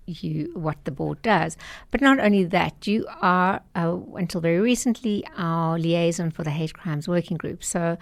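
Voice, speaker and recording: A woman's voice: lowish voice, creaky, no room sound. A woman hosting a radio interview show, chatty and personal, with fillers and restarts.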